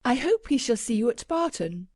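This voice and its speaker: A woman's voice: a little high, very animated, moderate pace, a little mellow, no room sound, clean studio sound, pitched above the performer's own voice. A woman doing a proper, genteel lady. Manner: genteel, reproving. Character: proper, cool aristocratic lady.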